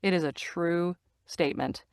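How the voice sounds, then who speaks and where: A woman's voice: lowish voice, normal speed, creaky, clear, resonant tone, dry recording. Woman, middle-aged, bubbly, laughs a lot, dry studio mic, video-call guest. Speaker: guest, voice actor and narrator. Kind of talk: casual video-call interview. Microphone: video call from a home recording studio.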